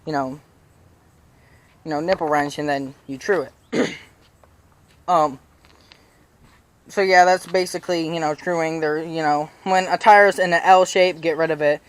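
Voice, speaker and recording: A young man with a slightly raspy voice giving casual bike tips in a rambling way, full of fillers and restarts. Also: on the high side, fairly even intonation, a little slow, pauses often. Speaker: BMX vlogger. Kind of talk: casual how-to vlog. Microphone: chest-mounted action camera.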